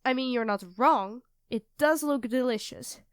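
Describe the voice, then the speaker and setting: A woman's voice: sits high, unhurried, clean tone, no echo, studio quality. A woman playing an affectionate, playfully teasing young girlfriend in an ASMR audio roleplay, talking expressively and dotingly to her partner. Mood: bewildered.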